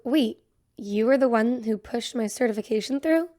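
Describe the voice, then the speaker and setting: A woman's voice: on the high side, moderate pace, dark tone, close to an everyday voice. A woman playing a young doctor in a sci-fi audio drama, earnest and unsure of herself. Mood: self-doubting. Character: earnest, self-doubting young female doctor.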